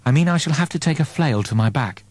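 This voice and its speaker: A man's voice: a little high, lively intonation, fast, darkish tone, dry recording, pitched above the performer's own voice. Guy doing a surly religious woman navigator in a comic space audiobook, clipped n stern, dry sound. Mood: self-reproaching.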